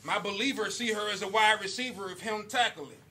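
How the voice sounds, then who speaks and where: A man's voice: high-pitched, a little fast, slight background noise. A man performing a rap battle with punchy, rhythmic delivery, chuckling now and then between his bars. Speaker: battle rapper. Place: indoor basement battle venue with crowd. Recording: loud live crowd recording. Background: crowd cheering and shouting.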